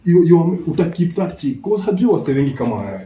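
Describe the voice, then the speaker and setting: A man's voice: a little high, very animated, fairly quick, warm tone. A young man with a Kenyan accent giving opinions in casual debate, restating his points in English mixed with Swahili slang. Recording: echoey, noisy, distant from the mic.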